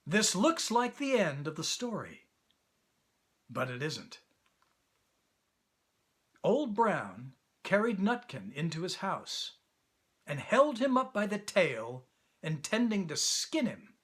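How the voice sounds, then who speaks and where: Animated, high-voiced male storyteller. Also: takes it slowly, long pauses, slightly rough. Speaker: actor reading a children's story.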